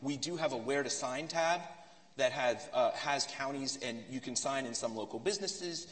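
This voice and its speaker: A man on a panel speaks informally and hesitantly, filling pauses as he finishes an update before opening it up to questions. He sounds far from the microphone, with a long hall reverb. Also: medium pitch, a bit bright. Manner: informal, hesitant, many fillers. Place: lecture hall.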